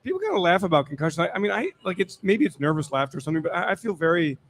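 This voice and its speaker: A man's voice: sits high, big pitch swings, rapid-fire. A man on a live sports talk panel, speaking in an earnest, questioning manner.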